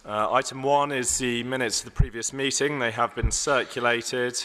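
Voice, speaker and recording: A man chairing a council meeting as mayor, slightly hoarse, formal and measured, with some ums and restarts. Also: medium pitch, fairly even intonation, sharp tone. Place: large council chamber. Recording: long echo of a large hall.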